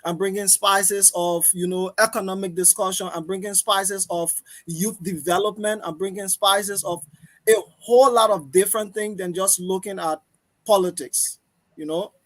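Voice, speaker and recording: High-pitched Liberian man, deliberate speaker. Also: fairly even intonation, fairly bright tone. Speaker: guest, political talk show host. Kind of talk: interview answers, self-introduction.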